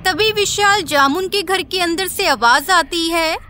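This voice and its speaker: Very high, bright woman narrator. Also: measured pace. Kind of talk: scripted children's cartoon story narration.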